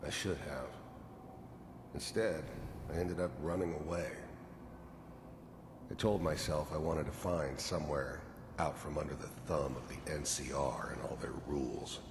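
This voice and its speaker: A man playing a world-weary, gruff middle-aged mercenary in a video game, slowly and broodingly looking back on his boyhood in a gravelly voice. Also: fairly even intonation. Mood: bitter regret. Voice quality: low, gravelly. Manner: slow, brooding, confessional. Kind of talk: video game voice-over monologue. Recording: reverberant game audio over noisy ambience.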